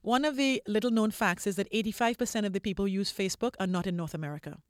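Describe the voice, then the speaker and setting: A woman's voice: mid-range voice, fast, dry recording, studio quality. A woman from Trinidad speaking as an interview guest, explaining things in an articulate, persuasive way with repeated phrases for stress. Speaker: interview guest, corporate diversity executive.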